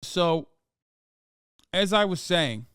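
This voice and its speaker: Man, 30s, native Turkish speaker, streamer, sarcastic profane rant, smooth voice, raised pitch, dry close sound. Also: expressive, very slow, fairly bright tone, dry recording. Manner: heated, sarcastic ranting with many fillers and swearing. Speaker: streamer reacting to news clips. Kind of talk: casual profane commentary on a livestream.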